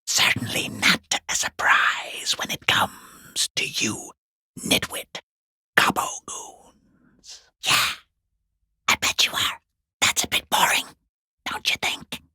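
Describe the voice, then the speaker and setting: A man playing a sneering, furtive peeping lurker in a video game, with a hoarse, breathy, half-whispered rasp and a sarcastic, taunting drawl. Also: slow, long pauses, bright, forceful tone, no room sound, clean studio sound. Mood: menacing, contemptuous, then bored, dismissive. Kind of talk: video game character acting.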